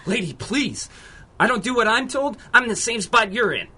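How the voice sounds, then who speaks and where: Gruff working stiff in radio drama: raspy, forceful, exasperated, colloquial. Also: male voice, very high voice, lively intonation, normal speed, heavily acted. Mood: exasperated, brusque. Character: gruff reluctant working-class eviction man.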